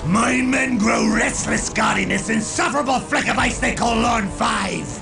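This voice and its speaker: Bloodthirsty chaos warlord for a game, super gravelly, high strained shouting, bombastic and arrogant. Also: male voice, moderate pace, music in the background, heavily acted. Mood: brooding contempt. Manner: bombastic, menacing, declamatory. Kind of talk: theatrical video game voice acting.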